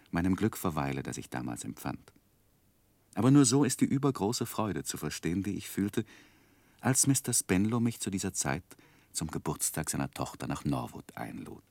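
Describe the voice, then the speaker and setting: Wistful gentleman narrator, deep voice that moves a lot, very dry studio, old radio play style, romantic and ornate. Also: creaky, dry recording, exaggerated voice. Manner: wistful, ornate literary phrasing. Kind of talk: 1960s German radio drama narration.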